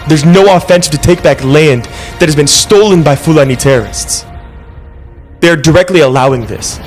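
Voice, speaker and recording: A man recording an impassioned video statement, urgent and accusatory. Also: fairly high pitch.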